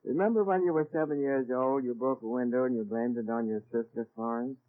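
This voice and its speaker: An actor playing a calm, knowing guardian angel with measured assurance in 1940s radio comedy. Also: male voice, mid-range voice, narrow, tinny audio, natural voice. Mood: assured. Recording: thin, band-limited 1940s radio recording.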